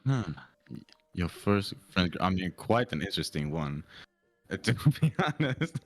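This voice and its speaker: A young man chatting casually, halting and repeating words as he goes, with casual swearing. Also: low-pitched, expressive, no echo, soft background music. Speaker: podcast co-host. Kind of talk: casual chat.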